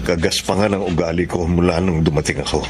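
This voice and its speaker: An actor playing an earnest, emotional brother in a Tagalog family radio drama. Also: male voice, deep voice, fairly even intonation, fast talker, a little mellow, a little hiss or hum, natural voice. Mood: remorseful, tender.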